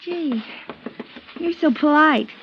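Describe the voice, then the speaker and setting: A woman's voice: high-pitched, slow. An actress playing a coy, teasing young female dancer in a 1950s radio drama. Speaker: roommate dancer. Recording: dull lo-fi old radio recording.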